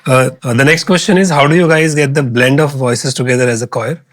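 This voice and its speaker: A man's voice: mid-range voice, fairly even intonation, brisk, a bit bright. A man hosting a music podcast, asking his guests questions with hesitant fillers. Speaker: podcast host. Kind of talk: interview questions. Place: podcast studio.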